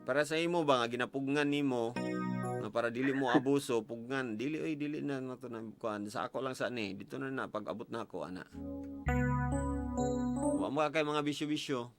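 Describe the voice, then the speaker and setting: A man's voice: mid-range voice, a little fast. A man with a Filipino accent, a native Cebuano speaker, hosting a casual advice show, asking probing questions and giving advice. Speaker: radio host interviewing callers. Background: background music.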